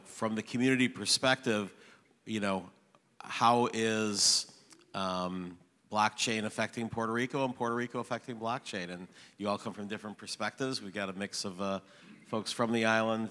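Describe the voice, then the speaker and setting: A man with a lowish voice opens a panel discussion, hesitant and leaning on fillers as he goes. The long reverb of a big hall hangs around his voice. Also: fairly even intonation, normal speed, fairly bright tone. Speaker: panel moderator. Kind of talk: casual panel introduction.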